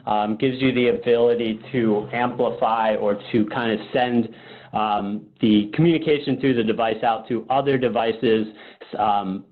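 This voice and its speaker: A man's voice: fairly low pitch, steady tone, unhurried. A man presenting a product webinar casually, informal and thinking aloud in a hesitant way. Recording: phone-like narrow-band webinar audio.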